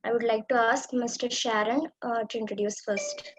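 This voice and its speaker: A woman hosting an online event, reading out names with frequent pauses. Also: on the high side, fairly even intonation, rough recording. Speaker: event host.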